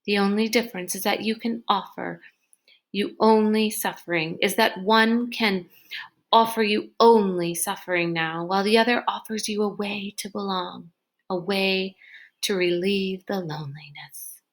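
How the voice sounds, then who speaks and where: A woman voicing a slow, coaxing, insidious thinking machine. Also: medium pitch, steady tone, lightly acted. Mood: softly persuasive. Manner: coaxing, insinuating. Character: seductive, whispering mind-reading machine, coaxing and insidious.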